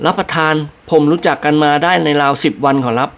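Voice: mid-range voice, fast talker, natural voice. A reader voicing a humble, deferential male servant answering his masters politely in an audiobook.

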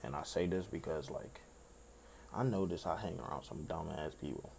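A young man with a raspy voice hosting his own podcast in loose, rambling casual chat, swearing freely. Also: extremely low pitch, normal speed, darkish tone, a little hiss or hum. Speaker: podcast host.

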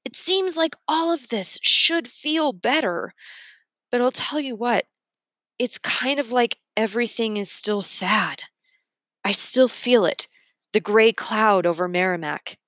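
A woman voicing a sad, earnest boy in a storybook, talking in a plain, halting, heartfelt way. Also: a little high, expressive, a bit bright, no room sound, voice pushed up.

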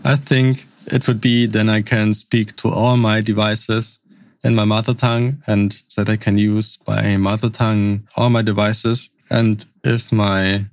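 A young man from Lusatia with a German accent, speaking non-native English as a podcast guest, answering in a halting, casual way. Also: on the low side, level tone, no room sound. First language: Upper Sorbian.

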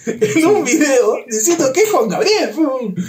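A man's voice: very high voice, sing-song, unhurried, over a noisy crowd. A man, chatting and joking as a podcast host, laughing often and reading news aloud. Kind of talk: casual comedic chat.

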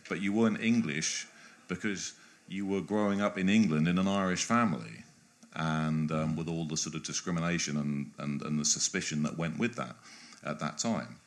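This is a man with an extremely low, deep voice, talking at length as an interview guest. He speaks in a discursive, thinking-aloud way, often breaking off and restarting his phrases. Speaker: guest biographer.

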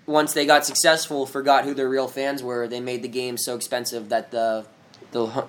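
A man's voice: medium pitch, a bit bright. A young man hosting a casual sports podcast, talking in a loose, joking, rambling way with plenty of fillers. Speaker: podcast host.

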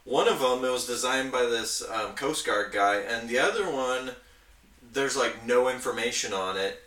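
Guy on a podcast nerding out, bright voice, roomy and kinda far from the mic. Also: medium pitch, moderate pace. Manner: enthusiastic, nerdy explaining. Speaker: podcast host. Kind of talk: casual hobby chat. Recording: roomy, echoey, distant from mic.

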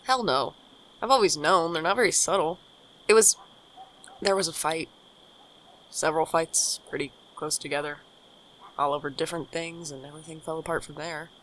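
A woman's voice: sits low, normal speed, long pauses, a bit bright, poor audio. A woman playing a young, caring friend with dry, casual banter in an audio drama. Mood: wry, easy. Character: young Micah, teasing, caring friend and host. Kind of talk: naturalistic audio drama acting.

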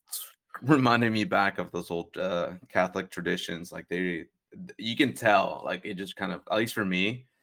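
A man's voice: low voice, creaky, fairly bright tone. A young adult man chatting casually as a podcast guest, rambling with lots of "like" and "uh" and frequent restarts. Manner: rambling, many fillers and restarts. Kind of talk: casual conversation.

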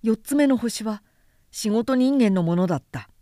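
A woman's voice: a little high, animated, normal speed, mellow, no echo, studio quality. A woman narrator giving a literary storybook reading.

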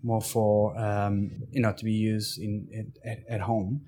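Portuguese-accented male guest, lowish voice, speaks haltingly with many restarts. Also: level tone, warm tone. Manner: halting, with frequent restarts and fillers. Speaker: interview guest. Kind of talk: casual storytelling.

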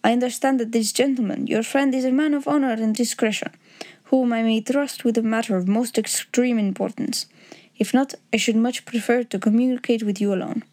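A woman's voice: on the high side, fairly even intonation, fairly quick, dark tone, natural voice. A woman voicing a proud, agitated male aristocrat in an audiobook story, speaking in a formal, pompous, grave way. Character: huge proud Bohemian nobleman, secretly a king, agitated, formal.

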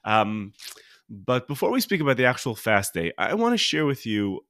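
An American man who is sniffly with a cold, hosting a solo podcast and teaching in an informal, halting way, rephrasing as he goes. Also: mid-range voice, big pitch swings, fairly bright tone, no room sound, studio quality. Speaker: podcast host and religious teacher.

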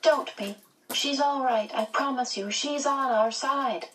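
A precocious young boy, acted by a woman narrator, speaks high above an adult register. He is sure of himself and insistent, in audiobook style, a bit away from the mic. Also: measured pace, fairly bright tone, recorded from a distance. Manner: assured, insistent. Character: small, self-assured, precocious young boy. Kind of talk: audiobook character voice.

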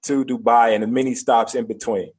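Guy hosting an interview, kinda husky, laid-back short questions. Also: mid-range voice, level tone, fairly quick, darkish tone. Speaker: interview host.

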